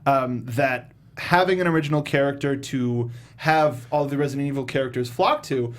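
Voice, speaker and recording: A man hosting a casual panel film review, talking in an animated, slangy and emphatic way. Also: mid-range voice, moderate pace. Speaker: host of a film review show.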